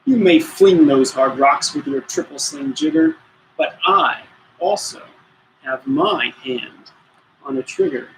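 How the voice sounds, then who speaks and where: A man's voice: fairly high pitch, big pitch swings, takes it slowly, vocal fry, slight background noise, heavily acted, rough recording. A man voicing a rude, menacing rival in a children's story, snarling and emphatic. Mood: menacing, taunting.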